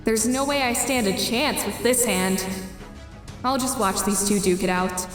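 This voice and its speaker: Competitive, calculating teen girl for a webcomic dub, played by a woman: high, slow and deliberate, music underneath. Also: lightly acted. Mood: pensive, wary. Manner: deliberate, musing to self. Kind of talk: webcomic fan dub acting with inner monologue. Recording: roomy voice with music behind.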